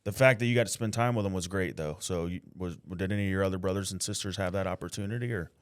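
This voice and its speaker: A man's voice: sits low, very fast, clean tone, dry recording, studio quality. A man hosting a podcast, speaking earnestly and often filling his speech with "like" and "man", sharing his own experience as he asks questions. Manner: earnest, faith-driven, fills with "like" and "man". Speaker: podcast host. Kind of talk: casual interview conversation.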